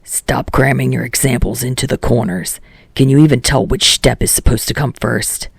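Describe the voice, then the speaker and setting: Gravelly, brash teenage boy, played by a man. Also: medium pitch, steady tone, moderate pace, no room sound, clean studio sound. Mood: irritated. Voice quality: gravelly, growling. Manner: gruff, blunt, scolding. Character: brash, foul-mouthed, short-tempered teenage boy tutoring a classmate. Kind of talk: acted dialogue in fan fiction audiobook.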